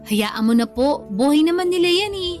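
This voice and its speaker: Earnest, higher-voiced Filipino daughter. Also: female voice, expressive, normal speed, a little mellow, soft background music. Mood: mild protest. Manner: polite, mildly protesting. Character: earnest, respectful single adult daughter. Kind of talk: Tagalog radio drama acting.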